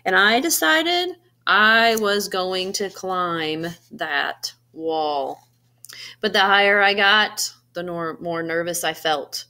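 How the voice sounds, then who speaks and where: A woman voicing an earnest, anxious yet determined egg in an expressive picture-book read-aloud, with dramatic emphasis and pauses. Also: fairly low pitch, smooth, exaggerated voice. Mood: nervous, determined.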